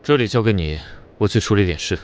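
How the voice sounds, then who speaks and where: Stern young-action-hero commander, male actor: lowish, clipped, cool authority, animated drama. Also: fast talker, lightly acted. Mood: resolute. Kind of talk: animated motion-comic drama dubbing.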